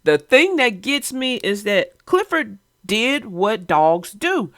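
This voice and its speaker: Female kids' show host: fairly high, very expressive delivery, folksy and conversational. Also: slow pace, no echo, clean studio sound. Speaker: host of a children's read-aloud show. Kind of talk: casual chat about a picture book.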